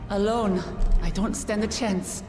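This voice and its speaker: A woman's voice: mid-range voice, fairly even intonation, measured pace, darkish tone, close to an everyday voice. A woman voicing an earnest, resolute young royal heir in a video game. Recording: long echo, game music behind.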